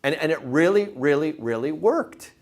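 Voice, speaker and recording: Guy doing a sales training pitch, kinda high voice, super up and down, repeats himself to hammer points. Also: expressive, moderate pace. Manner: emphatic, repeats words and phrases for emphasis; informal, punchy, asks the audience questions. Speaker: sales trainer presenting to real estate agents. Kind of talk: motivational sales presentation.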